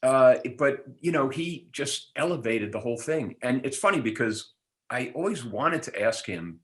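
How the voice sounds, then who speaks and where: A man's voice: mid-range voice, expressive, moderate pace, a bit bright. A man reminiscing casually at a reunion, telling anecdotes in a wry, hesitant way. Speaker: show alumnus on a reunion panel.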